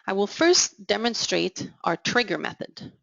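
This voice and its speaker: Woman, low gravelly voice, measured software demo narration, dry dull recording. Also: big pitch swings, dry recording. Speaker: software product presenter. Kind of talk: scripted product demo narration.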